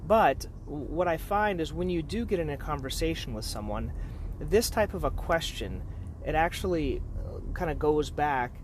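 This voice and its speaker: A man's voice: fairly high pitch, animated, moderate pace, slight background noise. A man talking informally as a podiatrist sharing practice advice with colleagues, in a conversational, explanatory manner. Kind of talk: informal talk to fellow professionals.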